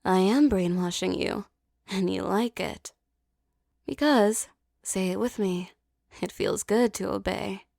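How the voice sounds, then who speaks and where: A woman speaks slowly and softly in a commanding, teasing tone, her voice carrying a gravelly fry. The recording is close and dry, with no room sound at all. Her words come unhurried and deliberate. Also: medium pitch, no room sound. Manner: slow, soft, commanding and teasing. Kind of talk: scripted hypnosis roleplay audio.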